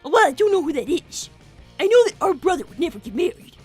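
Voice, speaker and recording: A woman's voice: very high voice, very animated, fast, a little mellow, soft background music. A woman voicing a smug five-year-old boy fox, a scheming little genius with pompous, formal speech, in improvised livestream roleplay.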